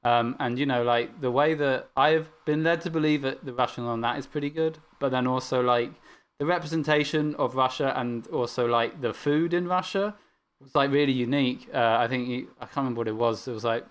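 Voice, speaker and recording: A man's voice: medium pitch, brisk, clear, resonant tone. Man, casual podcast chat, rambling, filler-heavy, blunt. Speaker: podcast co-host discussing anime.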